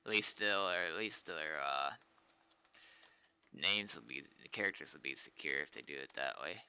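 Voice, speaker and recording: A man's voice: very deep voice, normal speed, sharp tone, a little hiss or hum. A man in a casual gaming livestream, rambling and thinking aloud, close on the microphone. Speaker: game server developer and streamer.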